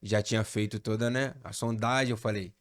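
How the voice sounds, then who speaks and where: A man's voice: on the low side, brisk, no room sound, clean studio sound. Man from Rio telling a story. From Rio de Janeiro, Brazil. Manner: animated storyteller, relaxed slang. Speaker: pastor, podcast guest. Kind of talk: casual storytelling chat.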